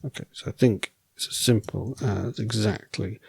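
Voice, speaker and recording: A man thinking aloud while writing code as a programming tutor, relaxed and wry, correcting himself as he goes, and losing his voice. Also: lowish voice, normal speed, gravelly, husky, dry recording.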